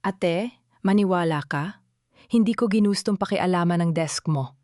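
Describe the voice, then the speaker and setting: A woman's voice: lowish voice, moderate pace, a little mellow, no echo, studio quality, lightly acted. Woman doing a sobbing young mother begging. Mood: tearful pleading. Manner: desperate, begging. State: tearful. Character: young mother, crying, pleading in fear.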